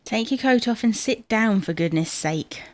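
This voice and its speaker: A woman's voice: a little high, lively intonation, moderate pace, darkish tone, dry recording, natural voice. A woman playing a fussing, no-nonsense middle-aged wife in a domestic audio comedy, chiding and motherly in manner. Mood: firm, soothing.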